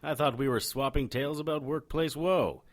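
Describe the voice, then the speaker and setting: A man's voice: medium pitch, moderate pace, natural voice. A man playing a cocky, wisecracking occult private investigator in an audio drama, wry and irreverent in noir style. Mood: cocky probing. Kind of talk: audio drama dialogue and first-person narration.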